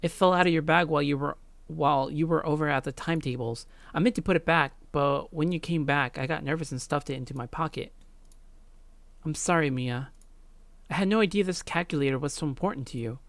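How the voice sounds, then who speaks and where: A woman's voice: low voice, a little fast, dry recording. A woman voicing an awkward, guilt-ridden teenage schoolboy in a visual novel, speaking hesitantly. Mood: apologetic. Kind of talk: visual novel character dialogue.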